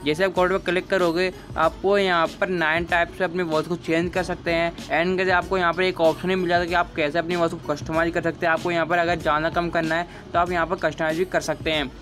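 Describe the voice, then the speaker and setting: A man's voice: on the high side, level tone, fast talker, few pauses, a bit bright. A young man narrating a gaming tutorial in formulaic, repetitive step-by-step instructions, in the manner of a channel host. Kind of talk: scripted tutorial voice-over. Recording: background music under voice-over. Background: music.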